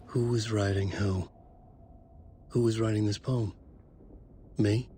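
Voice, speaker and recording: An actor playing a troubled, lost writer, narrating a horror game in short, pensive phrases and questioning himself. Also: male voice, fairly low pitch, fairly even intonation, slow pace, slight background noise. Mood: uneasy, pensive. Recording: loud eerie music underneath.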